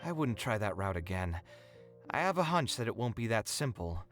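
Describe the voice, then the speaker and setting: A man's voice: fairly low pitch, very animated, moderate pace, over music. A man playing an earnest, slightly wry young architect in an anime-style video game, speaking in an articulate, thoughtful, storytelling way. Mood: reflective, hopeful. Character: earnest young male architect reminiscing, expressive, a little wry.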